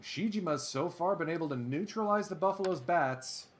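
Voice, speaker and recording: A man doing play-by-play commentary over a baseball sim game, excitable and chatty, drifting into asides. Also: on the high side, normal speed, slight background noise. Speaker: let's play host.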